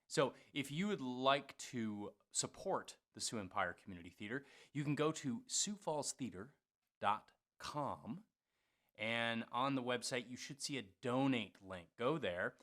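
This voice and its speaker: A man hosting a theater show, making an informal, halting fundraising appeal in a slightly apologetic way. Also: medium pitch, expressive, fairly bright tone.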